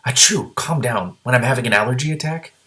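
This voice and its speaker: A man's voice: medium pitch, fairly quick, bright, forceful tone, pitched above the performer's own voice, close to an everyday voice. A man voicing a fussy old male dragon from a storybook, grumbling and blustering through sneezes. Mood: irritable.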